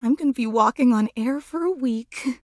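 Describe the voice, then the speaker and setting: A woman's voice: fairly high pitch, moderate pace, a little mellow, no room sound, studio quality, close to an everyday voice. A woman voicing an upbeat female character in a dating video game.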